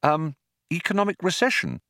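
A man's voice: fairly high pitch, sing-song, moderate pace, sharp tone, no echo, clean studio sound, pitched above the performer's own voice, exaggerated voice. A man playing an awkward, flustered ordinary man in an audiobook, stammering and hesitant, often incredulous. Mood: puzzled. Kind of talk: audiobook character voice.

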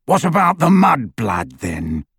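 A man voicing a gruff, menacing brute who talks slowly and growls. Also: on the high side, wildly swooping pitch, fairly bright tone, dry recording, clean studio sound, voice pushed up, heavily acted.

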